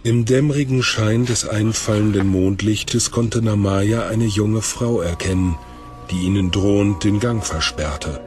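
A man's voice: fairly low pitch, fairly even intonation, measured pace, a little mellow, faint music behind, close to an everyday voice. A man reading scripted narration for a fantasy audio drama.

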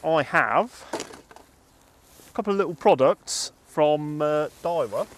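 A middle-aged British man presenting fishing gear outdoors by a lake, explaining uses and value in a steady, practical way. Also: a little high, takes it slowly, a little hiss or hum. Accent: British English. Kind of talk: casual review chat.

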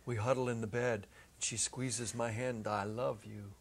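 A middle-aged man narrating a short story as a dramatic reading, theatrical, with deliberate pauses and shifts in intensity. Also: mid-range voice, level tone, creaky. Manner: theatrical, varying from hushed to emphatic, with deliberate pauses. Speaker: narrator, reader. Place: indoor stage set, seated at a music stand. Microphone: clip-on lapel mic.

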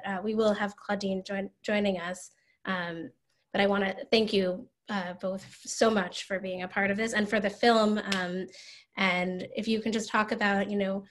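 A woman moderating a Q&A on a video call, hesitant with many ums. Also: mid-range voice.

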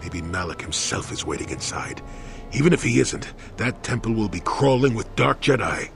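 An actor playing a practical soldier companion in a video game, earnest and urgent. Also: male voice, lowish voice, expressive, normal speed, creaky, a little hiss or hum. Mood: warning. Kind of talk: video game cutscene acting.

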